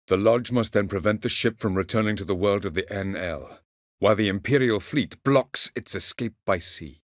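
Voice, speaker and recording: A man playing a haughty, cryptic elven sage in a video game, with measured, condescending, formal archaic phrasing. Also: fairly low pitch, dry recording, studio quality, natural voice. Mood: urgent, commanding. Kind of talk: video game character dialogue.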